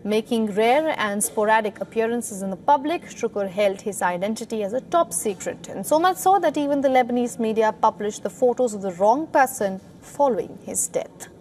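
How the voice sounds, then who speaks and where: A woman's voice: fairly high pitch, expressive, moderate pace, hardly any pauses, a little mellow. A woman reporter reading a scripted news report in a narrator's delivery.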